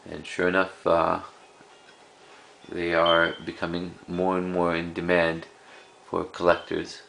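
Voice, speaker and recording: Casting: man, very low creaky voice, casual collector showing items, halting and unscripted, slight hiss of background noise. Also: completely flat delivery, fairly bright tone. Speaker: cassette collector presenting a collection. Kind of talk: casual unscripted show-and-tell.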